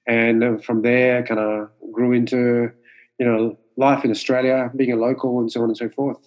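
A man in his forties from Mauritius, whose first language is Creole, giving thoughtful interview answers with many pauses and fillers. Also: medium pitch, little pitch movement, low-quality recording. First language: French Creole. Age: 40s. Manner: measured, with many fillers and restarts. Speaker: martial arts instructor, guest.

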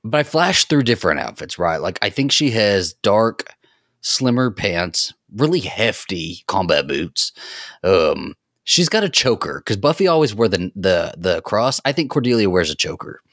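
A man's voice: lowish voice, big pitch swings, normal speed, fairly bright tone, no echo, studio quality. A man co-hosting a podcast, chatting casually and emphatically. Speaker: podcast co-host. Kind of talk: casual chat.

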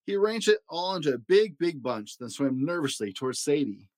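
Man, picture book narrator, expressive, dramatic, animated pitch, dry sound. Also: brisk, dry recording. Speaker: story reader.

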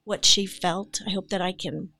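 Older actress guest, heartfelt and earnest, dry close sound. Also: female voice, medium pitch, steady tone, moderate pace, sharp tone, no room sound. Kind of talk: casual podcast chat.

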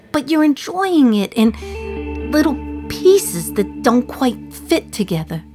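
A woman playing an imprisoned communist young mother in a radio play monologue, wry, confiding and reflective, with dramatic pauses. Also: medium pitch, natural voice. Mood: subdued, reflective. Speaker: actor in a solo radio play.

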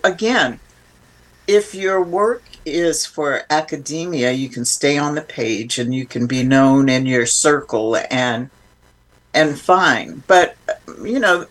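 A woman's voice: low voice, slow pace. A woman speaking as an interview guest, explaining informally and with emphasis in a reflective, conversational way.

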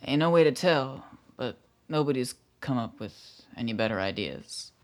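An actor playing a nervous, contrite rural youth of about twenty in a radio play, hesitant, stammering and folksy. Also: male voice, mid-range voice, animated, moderate pace, lightly acted. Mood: subdued. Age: young adult.

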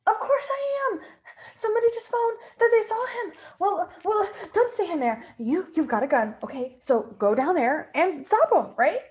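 A woman's voice: very high voice, animated, moderate pace, darkish tone. A woman playing a bossy, nagging wife in a radio play, scolding and insistent in a melodramatic way. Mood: urgent, pushy. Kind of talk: classic radio play acting.

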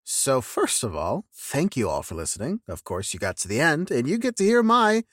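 A man's voice: medium pitch, wildly swooping pitch, normal speed, a bit bright, dry recording, clean studio sound. A tired man giving a casual, effusive post-show announcement as cast member and editor.

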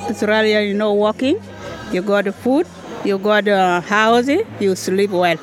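An older woman with a Sudanese accent, answering interview questions in simple, halting English with short phrases. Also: medium pitch, music in the background. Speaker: refugee interviewee at a market. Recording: busy outdoor market crowd noise.

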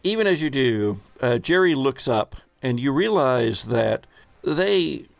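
A man narrating a tabletop roleplaying game as game master, in a halting, deliberate storytelling manner. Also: medium pitch, very animated, gravelly, no room sound.

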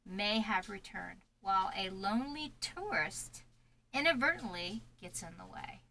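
A woman's voice: mid-range voice, expressive, unhurried, bright, forceful tone, a little hiss or hum. A woman hosting a live interview with an author, asking questions in a chatty way and laughing often. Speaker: interview host. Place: home studio with ring lights.

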